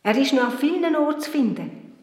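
A kindly, reassuring Swiss German woman in a children's radio play. Her fairly high voice swings expressively, and it rings with chapel-like echo. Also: sing-song, fairly quick, darkish tone. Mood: reassuring, concerned. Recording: echoey chapel-like reverberation.

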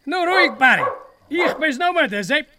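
A man's voice: very high-pitched, lively intonation, normal speed, heavy background noise. A man acting an exasperated, pragmatic village mayor with a Swiss German accent in a radio play. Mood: calling out. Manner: admonishing, dismissive. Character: exasperated, pragmatic village mayor, farmer's old school friend.

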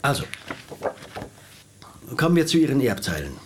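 Casting: male lawyer for radio drama, mid-pitched, measured and officious, courteous, exact with legal phrasing played by a man. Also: sing-song, slight background noise, natural voice.

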